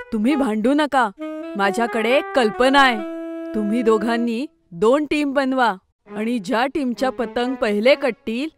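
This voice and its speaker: An eager young cartoon boy, acted out by a woman, with a fairly high voice. He sounds clever and playful as he earnestly explains himself, with music playing behind. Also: close to an everyday voice. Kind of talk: children's cartoon story dub.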